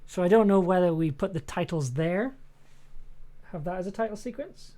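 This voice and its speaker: A woman's voice: lowish voice, animated, normal speed, warm tone. A woman narrating her sound editing work on a casual livestream, musing to herself in fragments. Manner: thinking aloud, musing in fragments. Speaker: sound designer and streamer.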